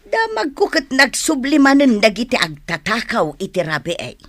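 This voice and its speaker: A woman's voice: on the high side, huge pitch swings, normal speed. A woman playing a scolding, gossipy village wife in a radio comedy drama. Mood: gossiping.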